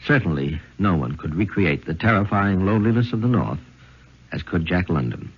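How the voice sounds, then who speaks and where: Male host, formal vintage announcer, very low and husky with lively inflection, muffled radio sound. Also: normal speed. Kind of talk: formal 1950s radio introduction. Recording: dull 1950s radio recording.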